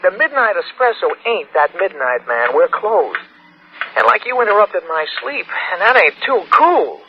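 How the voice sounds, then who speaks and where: Old radio, high-pitched curt club employee. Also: male voice, very animated, normal speed. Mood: sleepy, irritated. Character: nightspot employee fielding a worried caller, brusque. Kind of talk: 1960s radio suspense drama acting. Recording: dull, thin old radio recording.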